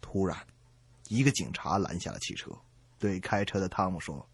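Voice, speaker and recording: A man hosting a radio comedy show, telling jokes in an animated way with a husky voice. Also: low-pitched, normal speed, no room sound. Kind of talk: animated joke telling in a two-host show. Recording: dry studio, faint music underneath.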